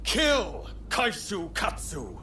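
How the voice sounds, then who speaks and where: Stern, emphatic revolutionary plotter. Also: male voice, high-pitched, wildly swooping pitch, extremely slow, noisy background, full character voice. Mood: urging. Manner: commanding, disdainful, emphatic. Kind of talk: video game cutscene acting.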